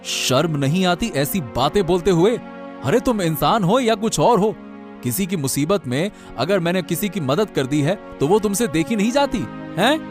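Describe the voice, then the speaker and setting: Man as an indignant high-voiced young farmer. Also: lively intonation, normal speed. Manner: scolding, earnest. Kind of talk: Hindi cartoon moral-story dialogue. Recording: music bed under the voice.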